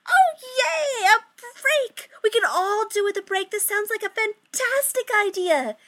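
A woman's voice: very high-pitched, lively intonation, a little slow, fairly bright tone, pitched above the performer's own voice, heavily acted. A woman voicing a group of young men who respond with exaggerated fake enthusiasm. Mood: mocking.

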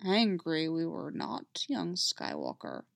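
A woman voicing an ancient, wise alien sage who speaks slowly with inverted word order. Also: low voice, huge pitch swings, gravelly, no room sound, pitched below the performer's own voice, exaggerated voice. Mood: gentle, probing. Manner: inverted word order, slow measured speech.

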